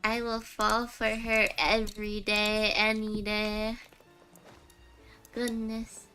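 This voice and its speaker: A woman's voice: medium pitch, monotone, slow pace, pushed and bright. A woman live-streaming a game with casual, doting reactions in short, gushing exclamations. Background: game music.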